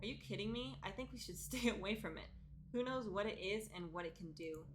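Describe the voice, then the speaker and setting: A woman's voice: medium pitch, brisk, noisy background, natural voice. A woman acting cautious, worried young girlfriend-in-peril, urgent. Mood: alarmed. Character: cautious, worried young female friend in horror game.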